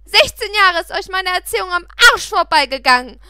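A woman's voice: extremely high pitch, expressive, normal speed, no echo, studio quality, voice pushed up, heavily acted. Woman acting a furious, defiant teenage girl. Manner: sarcastic, forceful, confrontational. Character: angry, defiant, foul-mouthed sixteen-year-old girl.

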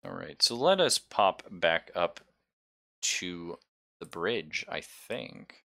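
A man acts as game master, narrating casually and talking with players. His voice is on the low side and sharp-edged, with a chatty, easily amused manner. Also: big pitch swings, slow pace, creaky.

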